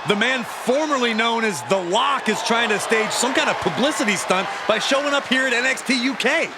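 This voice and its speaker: A man's voice: very high voice, animated, a little fast, a loud crowd, very noisy. A man voicing a wrestling commentator who calls the action dramatically. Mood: commentary. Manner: dramatic, emphatic. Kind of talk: sports commentary.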